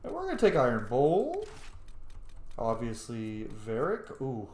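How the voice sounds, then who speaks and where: A man's voice: medium pitch, wildly swooping pitch, measured pace, darkish tone. Casual man gaming in an echoey room. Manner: rambling, profane. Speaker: gameplay streamer. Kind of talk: casual gameplay commentary. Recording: roomy echo, some background noise.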